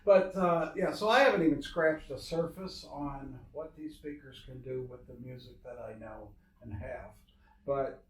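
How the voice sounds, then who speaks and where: A man in casual conversation, agreeable and quick to affirm what is said. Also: mid-range voice, a little hiss or hum. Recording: roomy, somewhat distant from the mic.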